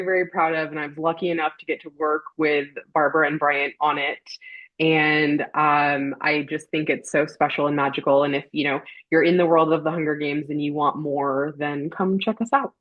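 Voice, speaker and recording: A woman's voice: low-pitched, steady tone, moderate pace. A woman chats casually as a guest on a panel. The recording of her voice is somewhat dull and lo-fi. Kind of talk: casual chat. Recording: dull, lo-fi microphone sound.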